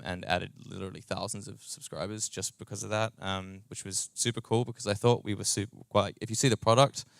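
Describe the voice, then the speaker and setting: A man with a New Zealand accent speaks in a fairly low, smooth voice that is captured very dry and close. He presents informally as a company co-founder, in a loose, slangy way with plenty of hedging. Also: no room sound. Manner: casual, halting, slangy, hedging with "like" and "sort of". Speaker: startup co-founder, tech and growth executive. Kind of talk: informal conference talk.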